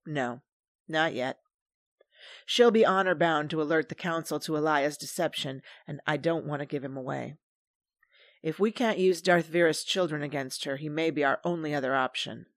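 Gravelly, commanding woman soldier. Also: low voice, moderate pace, dry recording, studio quality. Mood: firm, wry. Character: determined, commanding female soldier and ship's officer. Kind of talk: first-person audio drama narration and dialogue.

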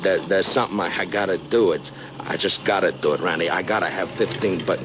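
An actor playing a sweet, simple-minded, anxious American down-and-out who stammers and repeats himself in slangy 1950s radio drama style. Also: male voice, low-pitched, fast talker, lightly acted. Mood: pleading, anxious. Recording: dull vintage radio recording, faint noise.

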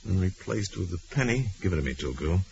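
Commanding low-voiced radio crime fighter. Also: male voice, big pitch swings, gravelly, darkish tone, heavily acted. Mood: calm, deliberate. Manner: deliberate, authoritative. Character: calm commanding male crime-fighting lama detective. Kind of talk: old-time radio drama acting. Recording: dull, hissy old radio recording.

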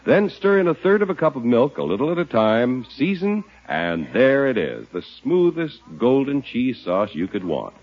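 A male 1950s radio announcer reading an advertisement with hugely animated intonation. His mid-pitched voice is a little husky, and the vintage recording is muffled. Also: moderate pace, hardly any pauses.

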